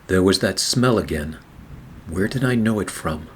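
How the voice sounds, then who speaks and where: A man playing a weary, wry private eye, narrating a noir radio play in a sardonic, measured way. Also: low-pitched, expressive, slight background noise. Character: weary, wry hard-boiled male private eye narrating his case.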